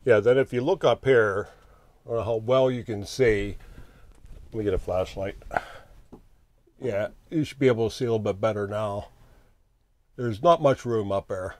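A man's voice: fairly low pitch, moderate pace, long pauses, a little mellow. Plainspoken man fixing a door. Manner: plainspoken, thinks aloud while working with his hands. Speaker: RV owner showing a repair. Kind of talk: casual how-to narration. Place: small bedroom in an RV.

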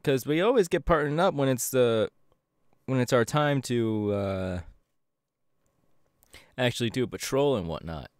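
A man's voice: medium pitch, no room sound, studio quality. A man playing an upbeat, easygoing young electric superhero in a casual, chatty audio roleplay. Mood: flustered. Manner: casual, animated, hesitant with fillers. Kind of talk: audio roleplay, chatty anime character acting.

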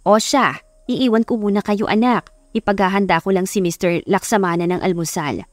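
A woman's voice: mid-range voice, moderate pace, no echo, lightly acted. A woman narrator puts on a middle-aged Filipino mother in a romance audiobook. She is homely, chatty and hospitable. The sound is dry, with music underneath. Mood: welcoming.

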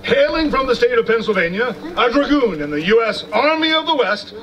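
A man's voice: extremely high pitch, big pitch swings, fairly quick, fairly bright tone, muffled recording, full character voice. A man playing an old-time TV news anchorman, formal and declamatory, reporting outdoors at a historical reenactment. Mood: solemn, formal. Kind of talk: theatrical news reporting, reading from a script. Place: outdoor amphitheater in a park. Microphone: stand microphones, far camera. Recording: outdoor PA from far off, noisy.

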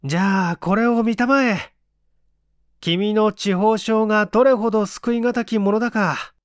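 A man's voice: high voice, steady tone, measured pace, no echo, studio quality, pitched above the performer's own voice. A man voicing a superior male friend who lectures in an emphatic, chiding way, in a storybook reading. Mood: exasperated. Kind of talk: acted dialogue in storybook reading.

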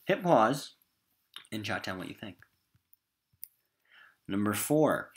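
A man's voice: lowish voice, sing-song, slow pace, breathy edge. A man with an American accent teaching a recorded literature lesson in an emphatic, informal classroom style.

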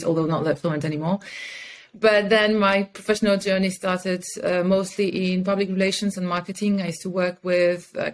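Low-pitched, enthusiastic woman. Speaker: podcast guest, communications head and mentor. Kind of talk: conversational interview answers. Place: indoor room with curtains and plants, on a video call.

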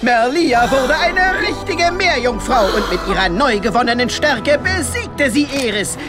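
A woman's voice: high-pitched, animated, unhurried, over loud music. A woman playing a bubbly, gushing mermaid morning-show presenter in a children's audio drama. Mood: bright, gushing.

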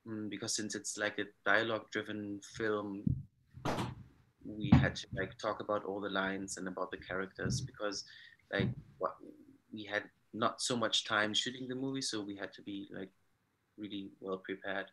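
Man, twenties, slightly low pitch, forward bright tone, casual interview, video call. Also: flat delivery, normal speed, rough recording. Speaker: actor, panel guest. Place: room at home on a video call. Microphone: computer mic on video call.

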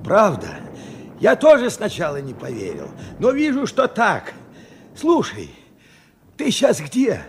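An actor in a Soviet radio play playing an astonished old war veteran, speaking haltingly and urgently in an aged voice. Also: male voice, sits high, wildly swooping pitch, a little hiss or hum, heavily acted. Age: older. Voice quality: husky, old-sounding.

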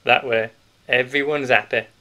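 A man's voice: medium pitch, fairly even intonation, moderate pace, bright, forceful tone. A man voicing a stout, sly, cheerful male wartime grocer with a Yorkshire accent, in a knowing, conspiratorial manner. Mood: jovial.

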